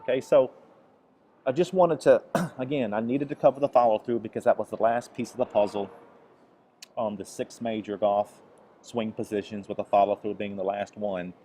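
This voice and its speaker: A man's voice: on the low side, fairly even intonation, moderate pace, mellow. A man recovering from the flu, teaching a golf lesson over swing footage, with frequent restarts and a repeated "okay". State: recovering from flu, voice deeper than usual. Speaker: golf instructor.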